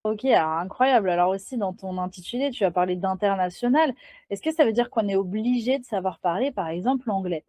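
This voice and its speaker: A woman's voice: mid-range voice, brisk, dark tone, dry recording. A woman hosting a live interview, casual and enthusiastic. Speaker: host.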